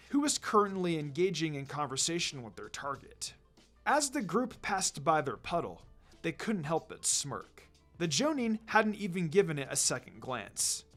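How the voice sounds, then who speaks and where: Lively story narrator over music. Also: medium pitch. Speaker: narrator reading fan fiction.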